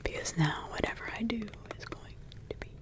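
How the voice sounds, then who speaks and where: A woman in an ASMR roleplay voicing a centuries-old witch mother who softly whispers, slowly ponders and hums to herself between thoughts. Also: natural voice. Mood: musing. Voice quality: soft whisper throughout.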